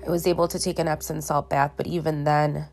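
Tired, congested woman with a low voice. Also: level tone, a little mellow, faint music behind. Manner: slow, halting, with many restarts and ums. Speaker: podcast host. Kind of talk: casual solo podcast monologue. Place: her bedroom at home.

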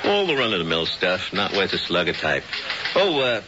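An actor playing a folksy, wisecracking middle-aged police lieutenant in an old radio drama. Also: male voice, low-pitched, very animated, moderate pace, lo-fi sound, noisy background. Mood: jovial.

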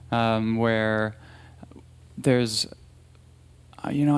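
A man who is a singer-songwriter casually introducing a song at an open mic, haltingly searching for his words. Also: mid-range voice, vocal fry, a little mellow, smooth.

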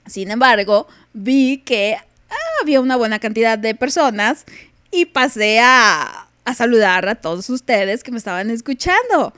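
A woman's voice: fairly high pitch, lively intonation, a little slow, fairly bright tone, dry recording, studio quality. A young woman with a Mexican accent hosting a casual live radio podcast, playful and teasing, laughing often. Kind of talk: casual chat on a live stream.

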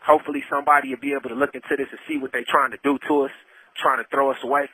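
A man's voice: mid-range voice, little pitch movement, racing, sharp tone, breathy edge, no echo. A man giving personal testimony over a telephone line, speaking in an earnest, colloquial, pleading way. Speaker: storyteller, incarcerated caller. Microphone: phone handset.

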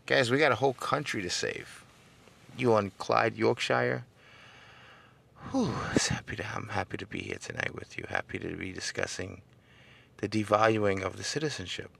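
A middle-aged man with a smooth but gravelly, fairly low voice hosts his podcast. He talks to listeners like a crowd and swells into a sermon-like, emphatic rhythm as he makes his case. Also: moderate pace, a bit bright. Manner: conversational, rising into emphatic preaching cadence; addresses listeners directly, seeks call-and-response. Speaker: podcast host. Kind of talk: casual political podcast monologue.

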